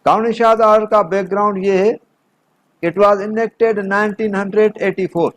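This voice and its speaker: A man's voice: high-pitched, fairly even intonation, slow, lo-fi sound. A man with a Pakistani accent giving an instructional law lecture in a methodical, explanatory way. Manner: methodical, explanatory, switching between two languages. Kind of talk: instructional lecture mixing explanation with read-out notes.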